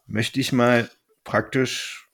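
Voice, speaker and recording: A man's voice: mid-range voice, steady tone, takes it slowly, creaky, fairly bright tone. A man chatting casually as a podcast host, thinking aloud and often rephrasing mid-sentence.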